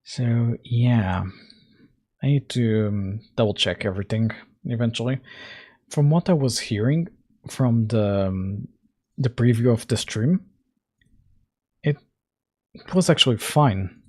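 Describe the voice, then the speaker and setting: Husky, hoarse man thinking aloud slowly. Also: warm tone. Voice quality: husky, deeper than usual. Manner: slow, thinking aloud with fillers. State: hoarse, recovering, coughing. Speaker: game dev livestream host. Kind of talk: casual coding livestream chat. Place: home room, at night. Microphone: close mic right in front.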